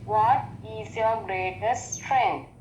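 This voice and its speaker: A woman's voice: mid-range voice, slow pace, a little mellow, a little hiss or hum. A woman from Sri Lanka with a Sri Lankan accent, a student who hesitantly repeats each question before she answers it. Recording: dull, echoey call audio.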